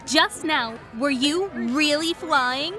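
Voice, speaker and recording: Giddy, awed teen girl, played by a woman, high and slow-spoken, music behind, audio drama. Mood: awed, hesitant. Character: giddy, awed teenage party girl dressed as witch.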